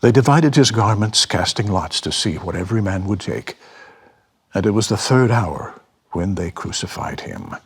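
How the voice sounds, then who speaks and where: A man's voice: fairly low pitch, animated, moderate pace, slightly rough. A man performing a dramatic narration of a scripture story.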